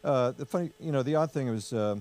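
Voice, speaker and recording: A man's voice: medium pitch, quick pace, darkish tone. A man hosting a casual political discussion, chatty and full of fillers, steering with questions. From United States. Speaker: host.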